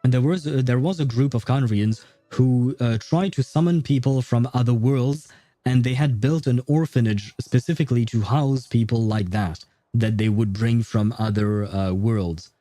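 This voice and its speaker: A man with a mellow, medium-pitched voice chats casually as he streams a game. He rambles in self-deprecating banter with viewers, recorded close and dry with faint music behind. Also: normal speed, no room sound. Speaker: video game livestreamer. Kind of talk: casual livestream chat while gaming.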